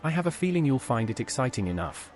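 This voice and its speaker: Man as a lively, friendly adventurer hero. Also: medium pitch, fast, over loud music, close to an everyday voice. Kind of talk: scripted game dialogue, AI-voiced.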